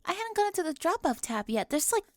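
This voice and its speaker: A woman's voice: extremely high pitch, very animated, quick pace, a little mellow, no room sound, studio quality. A woman playing a prim, hyper-organized popular teen girl in an ASMR roleplay, fussy, officious and over-precise. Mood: officious, insistent. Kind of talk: scripted ASMR audio roleplay.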